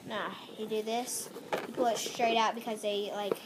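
A woman's voice: mid-range voice, level tone, normal speed. Young girl explaining over heavy noise. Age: child, about 10-12. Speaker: guest, host's cousin. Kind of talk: casual step-by-step explaining. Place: small bedroom at home. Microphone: phone or tablet camera nearby. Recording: very noisy home phone recording.

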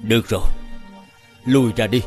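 A man playing a stern, disappointed king in an animated fairy tale, grave and authoritative. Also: fairly low pitch, moderate pace, soft background music, natural voice. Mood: weary, curt. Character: stern, disappointed old king, commanding father.

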